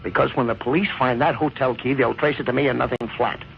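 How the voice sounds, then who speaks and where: An actor playing a desperate married man on the run in an old-time radio crime drama, urgent and halting, with a gravelly voice. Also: medium pitch, fairly even intonation, lightly acted. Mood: anguished, resigned. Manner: urgent, pressing, halting when cornered. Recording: muffled, hissy old radio recording.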